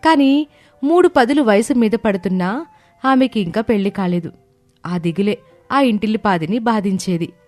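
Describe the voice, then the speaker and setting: A woman's voice: medium pitch, animated, normal speed, pauses often, darkish tone, faint music behind. A woman giving scripted narration of a moral tale as storyteller.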